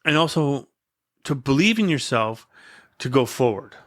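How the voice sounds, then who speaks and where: A man's voice: medium pitch, expressive, unhurried, a bit bright. Earnest man talking about self-belief. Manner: earnest, speaks like giving a speech; stutters at times. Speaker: podcast guest. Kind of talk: casual conversation.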